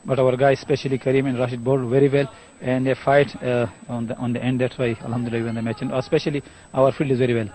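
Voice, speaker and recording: Flat, mellow Afghan-accented man, very fast. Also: medium pitch, low-quality recording. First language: Pashto. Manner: hesitant broken English, many uh's, religious phrases. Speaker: winning team captain. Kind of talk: post-match interview answers.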